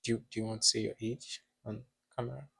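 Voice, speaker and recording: Brief: young male podcast host, lowish voice, dry close sound, meandering filler-laden chat. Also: little pitch movement, fast, gravelly, pushed and bright, breathy edge, dry recording. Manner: rambling, filler-heavy, reflective. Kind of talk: casual chat.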